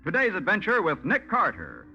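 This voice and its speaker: A man's voice: sits high, wildly swooping pitch, moderate pace, breathy edge. A man announcing dramatically in the style of a 1940s radio mystery serial. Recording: muffled old radio recording. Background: loud organ music.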